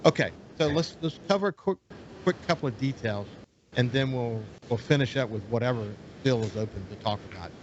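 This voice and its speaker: Hoarse man hosting a radio chat. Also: mid-range voice, brisk, muffled recording, background noise. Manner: relaxed, rambling, self-correcting. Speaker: radio talk show host. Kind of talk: casual interview.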